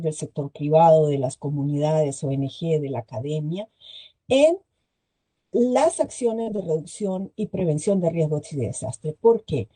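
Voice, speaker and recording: A woman's voice: mid-range voice, dark tone, no room sound. A middle-aged woman with a Costa Rican accent, giving a presentation to a virtual forum, hesitating often with filler sounds. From Chile. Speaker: guest speaker.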